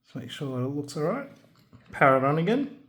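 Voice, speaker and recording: A man narrating a hands-on assembly tutorial in a casual, careful, unscripted way, pausing as he works. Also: on the high side, sing-song, fairly bright tone. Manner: unscripted, careful, halting while working with hands. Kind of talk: casual step-by-step instructional narration. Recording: background noise with clicks and handling sounds.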